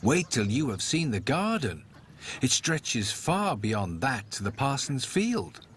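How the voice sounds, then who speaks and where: A man's voice: mid-range voice, sing-song, takes it slowly, a bit bright, a little hiss or hum. A man voicing a fussy, weary, proud mother duck in a storybook tale, fretful and exclaiming. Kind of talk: storybook character voice.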